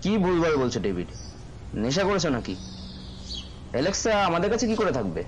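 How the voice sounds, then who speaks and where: A man's voice: on the high side, wildly swooping pitch, a little fast, noisy background. A man playing a chatty, nosy landlord in an audio drama, talking in a familiar, inquisitive, exclaiming way. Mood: nosy, teasing. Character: nosy, chatty male landlord questioning his young tenant.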